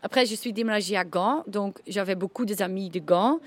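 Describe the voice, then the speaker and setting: A woman with a Ghent Flemish accent chats in a lively, playful way and laughs easily. Her pitch is average. A faint background noise sits under her voice. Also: brisk. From Flanders, Belgium. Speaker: interviewee. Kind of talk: casual chat, switching between languages.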